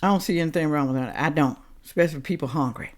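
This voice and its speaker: A woman's voice: low-pitched, fast. An American woman giving opinionated, confrontational commentary straight to viewers, blunt and full of rhetorical questions. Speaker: host of a reaction commentary show.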